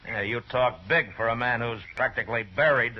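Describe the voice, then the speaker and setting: A man's voice: mid-range voice, normal speed, dry recording, natural voice. An actor playing a stern, hard-bitten US marshal, terse and grim, in an old radio western that he also narrates. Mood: stern, commanding. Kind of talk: old-time radio western acting and first-person narration. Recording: muffled vintage radio recording.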